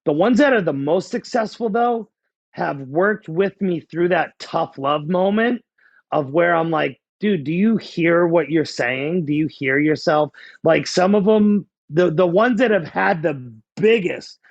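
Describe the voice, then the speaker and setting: A man's voice: a little high, a little slow, vocal fry, no echo, lo-fi sound. A man in his forties with a Boston accent, telling his story in a casual, candid, conversational way. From New England, small-town New Hampshire near the Massachusetts border. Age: 40s. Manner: candid, blunt. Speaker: guest, life coach.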